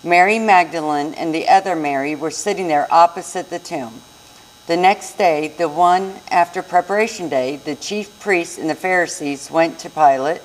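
A woman's voice: fairly low pitch, moderate pace, rough recording. A woman reading a Bible narrative aloud as a scripture reader in a church service.

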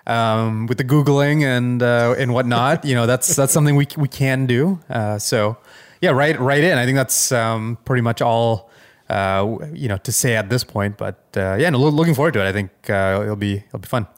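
A man's voice: mid-range voice, normal speed. A man co-hosting a podcast, chatting casually in a hesitant, rambling way. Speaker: podcast co-host.

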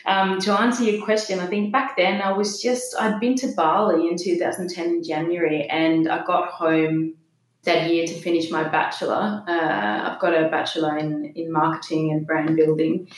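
A woman in her thirties speaks with a light Danish accent and a low voice. She talks in an open, reflective way, full of ums and restarts. The sound is roomy and echoey. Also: fairly even intonation, moderate pace. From Denmark. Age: 30s. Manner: open, reflective, many fillers. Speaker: podcast guest. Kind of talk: personal interview talk.

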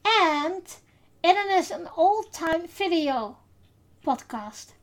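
A Dutch woman, speaking English with her native accent, hosts a casual podcast. Her voice sits high and she talks at a slow, unhurried pace. She pauses often with fillers as she gathers her thoughts. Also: lively intonation. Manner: unhurried, hesitant with many fillers. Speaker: podcast host.

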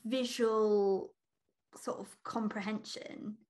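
A woman's voice: medium pitch, fairly even intonation, takes it slowly, darkish tone. A woman co-hosting a podcast, chatting casually and expressively with a friend. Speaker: podcast co-host. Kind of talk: casual chat between friends.

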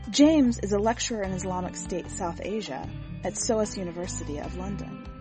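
A woman reading scripted narration for a literary fiction podcast in a measured, deliberate and expressive way. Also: medium pitch. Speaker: podcast host and story reader.

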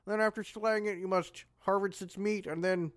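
A woman's voice: medium pitch, steady tone, moderate pace, no echo, studio quality, natural voice. An actress playing a bumbling, insistent fake Troll King made of goblins, pompous and stumbling, in a comic fantasy audio drama. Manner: pompous, stumbling over own claims.

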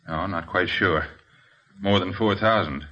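An actor playing a shaken, earnest robbery witness in a 1950s radio drama, halting but emphatic. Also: male voice, sits low, sharp tone, heavily acted. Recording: dull old radio recording.

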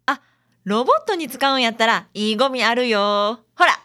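ラジオドラマで、女性が関西弁の親切で気さくな女性探偵助手を、優しく話しかける調子で演じている。. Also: female voice, a little high, animated, moderate pace. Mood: welcoming. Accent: Kansai. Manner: gentle, chatty explaining. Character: friendly, kindly young female detective's assistant. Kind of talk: community radio drama acting.

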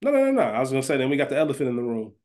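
A man's voice: medium pitch, huge pitch swings, very fast, darkish tone. Male podcast co-host, mid-range voice, informal slangy explainer in loose conversation. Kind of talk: casual chat, fantasy draft banter.

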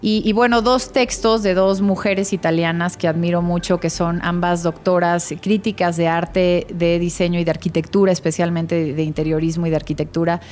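A Mexican woman with a fairly low voice answers interview questions conversationally. She sounds reflective and enthusiastic about her work as an interior designer. Faint music plays behind her. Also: darkish tone. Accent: Mexican Spanish.

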